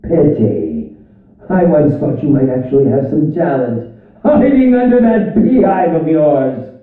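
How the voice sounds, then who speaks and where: An actor playing a flamboyant, petulant, sadistic film director villain in a video game, taunting theatrically in a drawn-out delivery. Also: male voice, a little high, sing-song, roomy sound, big-room sound, narrow, tinny audio. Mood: mocking.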